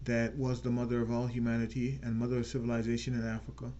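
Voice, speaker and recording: A man hosting his own channel, giving an earnest unscripted political talk and slowing down to stress key words. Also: mid-range voice, monotone, warm tone, muffled recording. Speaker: channel host.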